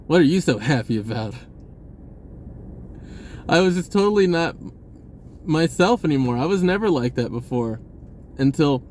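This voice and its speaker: A man's voice: fairly high pitch, a little mellow, slight background noise. A man talking casually about spirituality in a rambling way, repeating words and swearing offhand. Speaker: spiritual vlogger.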